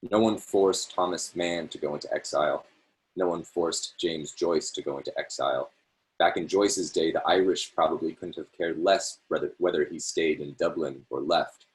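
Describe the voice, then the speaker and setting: A man reading a literary essay aloud over a video call, in a measured, phrase-by-phrase delivery with small self-corrections. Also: rumbling low, poor audio. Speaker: reader hosting a reading series.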